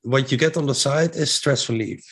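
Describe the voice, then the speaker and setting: A man's voice: medium pitch, moderate pace, creaky, over a noisy crowd. Dutch-accented man, eager and rambling. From Netherlands. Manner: enthusiastic, with frequent restarts and fillers. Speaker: guest, breathwork teacher. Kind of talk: casual interview talk.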